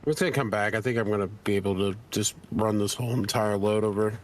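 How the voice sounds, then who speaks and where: A man's voice: lowish voice, fairly even intonation, quick pace. A man chatting casually with a streamer, teasing him and giving tips about the game. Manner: teasing, offers gameplay tips. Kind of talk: casual chat.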